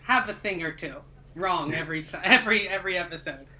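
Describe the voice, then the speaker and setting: Bright-voiced woman in casual podcast chat. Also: on the low side, fast. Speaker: podcast co-host. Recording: faint ambient noise on video call.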